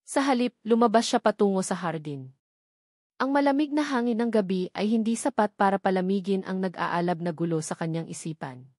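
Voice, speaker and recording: Female narrator for romance audio drama: scripted storytelling, medium pitch, very dry sound. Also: animated, brisk, a little mellow, no echo.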